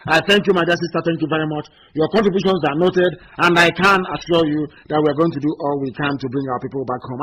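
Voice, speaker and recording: A man hosting a live call-in radio show, talking forcefully and repeating himself as he urges callers on. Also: on the high side, poor audio. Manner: forceful, repetitive, urging callers on. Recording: faint noise, live radio stream.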